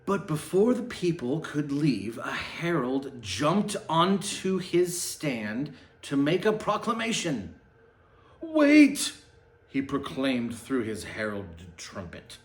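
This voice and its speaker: A man reads a storybook aloud in a roomy, echoing space. His pitch swings widely as he plays up the drama, and he slips into casual, sarcastic asides. The delivery is slow and satirical. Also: sing-song. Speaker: host reading a children's book aloud with mocking commentary.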